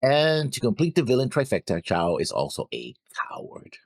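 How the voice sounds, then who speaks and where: A man's voice: mid-range voice, wildly swooping pitch, moderate pace, creaky, no echo, clean studio sound. A man co-hosting an anime reaction show, casually going over his notes on an episode.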